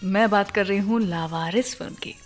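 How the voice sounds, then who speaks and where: A woman's voice: mid-range voice, normal speed. Woman radio host over background music. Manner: conversational storytelling, mixing in English phrases. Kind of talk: scripted radio music show presentation. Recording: music under the voice.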